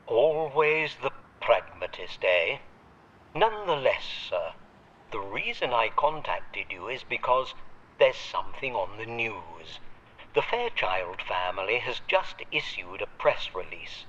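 A man's voice: on the low side, sing-song, fairly bright tone, muffled recording, heavily acted. An actor playing a devoted, fatherly old butler in an audio drama, formally polite and sentimental, heard through a radio filter. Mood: formal, solemn. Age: older. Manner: formal, measured, deferential yet tender.

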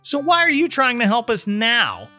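A man's voice: very high voice, huge pitch swings, moderate pace, bright, forceful tone, faint music behind, voice pushed up, full character voice. A man reading the part of a flustered, skeptical, sarcastic man who doubts himself, in a storybook narration. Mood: disbelieving, sarcastic. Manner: incredulous, sarcastic.

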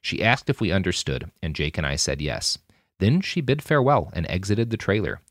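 A man narrating a documentary podcast in a measured, storytelling manner. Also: deep voice, big pitch swings, dry recording, studio quality.

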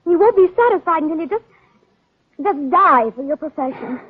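An actress playing a devoted, naive, fretful secretary with breathless gushing in a 1940s radio drama. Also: female voice, high-pitched, animated, normal speed. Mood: fretful, adoring. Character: devoted, excitable, naive young female detective's secretary. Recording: tinny, phone-like vintage 1940s radio recording.

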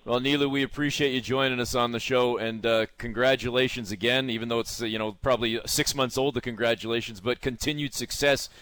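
A middle-aged man hosts a sports interview show in a conversational style. His voice sits at a medium pitch, and the sound is dry, with no room echo. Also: fairly even intonation, a little fast, hardly any pauses, a bit bright.

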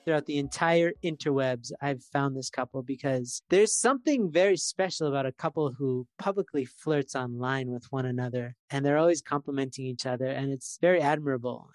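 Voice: medium pitch, no room sound. A podcast host introducing the show and its guests in an enthusiastic, admiring way. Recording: music playing under the voice. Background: music.

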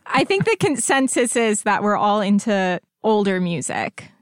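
A woman's voice: mid-range voice, big pitch swings, a little slow, smooth, no echo, clean studio sound. A woman chatting casually on a podcast team in an easygoing, giggly way. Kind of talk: casual chat.

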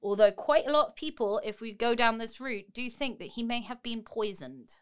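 A woman's voice: on the high side, animated, moderate pace, clear, resonant tone. A woman co-hosting a podcast, retelling history in a casual, wry and informal way. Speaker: podcast co-host.

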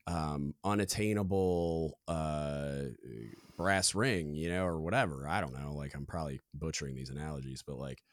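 Deep-voiced man rambling casually. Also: normal speed, no echo, clean studio sound. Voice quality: deep booming voice. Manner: rambling, filler-heavy, candid. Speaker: podcast co-host. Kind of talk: casual podcast conversation.

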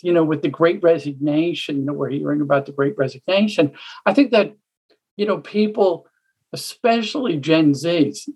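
A middle-aged woman speaking as a panelist, answering in a measured, thoughtful way with some halting pauses. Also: sits low, darkish tone, husky, poor audio.

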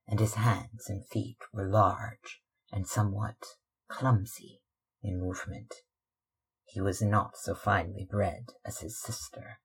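A man reading literary fiction aloud as narrator, in a measured, dramatic way. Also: lowish voice, slightly rough, low-quality recording. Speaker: audiobook reader.

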